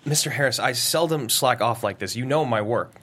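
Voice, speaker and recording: An actor in a script table read playing a troubled, apologetic businessman with a halting delivery. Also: mid-range voice, a bit bright, husky. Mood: apologetic, flustered. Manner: halting, hesitant. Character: troubled, apologetic male businessman haunted by visions.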